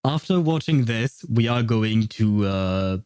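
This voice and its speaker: A man's voice: lowish voice, expressive, a little slow, a little mellow, clean tone, no echo, studio quality. A man chatting casually as a game livestream host, rambling and opinionated. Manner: rambling, opinionated, often chuckling. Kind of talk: casual gaming livestream chat.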